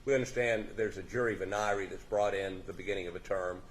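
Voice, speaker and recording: A man questioning a witness in a courtroom, halting and restarting his questions in a folksy way. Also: low-pitched, fairly even intonation. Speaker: defense attorney questioning a witness. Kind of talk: courtroom examination. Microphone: courtroom microphone.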